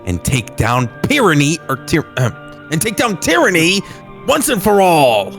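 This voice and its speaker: A man playing a heroic kung-fu rebel leader, delivering taunting lines deliberately in an improvised radio drama. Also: fairly high pitch, huge pitch swings, creaky, a bit bright, faint music behind, pitched above the performer's own voice, heavily acted. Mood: resolute.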